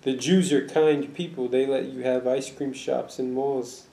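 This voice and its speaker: A man's voice: mid-range voice, steady tone, a little slow, creaky, warm tone. A man interviewing a guest with probing, sometimes provocative questions. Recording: echoey room.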